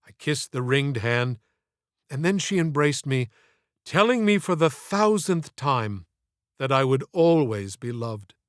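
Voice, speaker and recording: A man's voice: medium pitch, animated, unhurried, no room sound, studio quality. A man reading scripted audiobook narration in an earnest, literary, theatrical manner.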